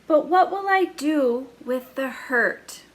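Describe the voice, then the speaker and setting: A woman's voice: high-pitched, lively intonation, slow. A woman voicing a young boy with hurt feelings, talking in an earnest, childlike way.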